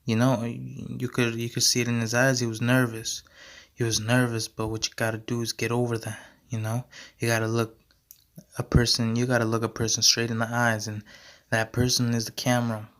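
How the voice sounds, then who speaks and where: A young man hosting his own podcast, rambling in a slangy, repetitive way and going hoarse as he talks. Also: mid-range voice, completely flat delivery, normal speed, fairly bright tone. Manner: slangy, repeats himself, many fillers. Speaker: podcast host. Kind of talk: casual rambling monologue.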